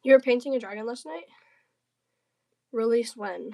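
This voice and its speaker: A woman's voice: fairly high pitch, animated, normal speed, creaky, a little mellow. A woman from the southern United States livestreaming casually, chatting and bantering with viewers in a playful, slangy, jokey way. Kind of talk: casual livestream chat while crafting.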